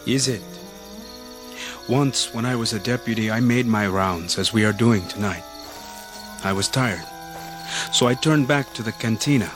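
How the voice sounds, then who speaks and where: An actor playing a dutiful, wary village police chief in Mexico, in a grave, measured radio drama style. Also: male voice, lowish voice, music in the background, lightly acted. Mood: grave, solemn.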